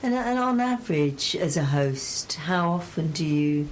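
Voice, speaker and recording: A woman's voice: deep voice, expressive, unhurried, slight background noise, poor audio. A woman asking questions as a radio interviewer in a conversational style.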